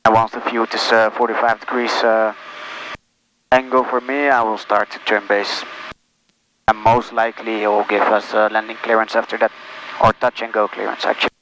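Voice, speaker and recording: A man piloting a small aircraft, giving casual, halting cockpit commentary and radio calls over a headset. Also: lowish voice, flat delivery. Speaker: pilot narrating his own flight. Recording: noisy cockpit with engine hum.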